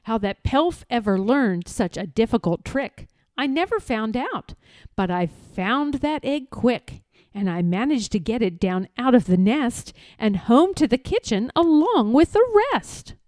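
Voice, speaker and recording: A woman reading a rhyming children's storybook aloud in an expressive, playful way, with deliberate and emphatic phrasing. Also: a little high, dry recording, studio quality.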